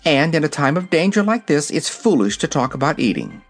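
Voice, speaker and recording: A man's voice: fairly high pitch, very animated, brisk, faint music behind, voice pushed up. Man playing a grave, nervous young boy. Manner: grave, hesitant.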